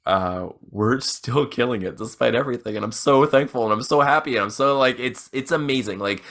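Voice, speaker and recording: A man's voice: mid-range voice, a bit bright. A man in his thirties co-hosting a podcast, talking casually with lots of restarts and laughs while he gets over an illness. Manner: rambling, laughing, restarts often. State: recovering from illness. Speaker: podcast co-host. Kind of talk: casual chat.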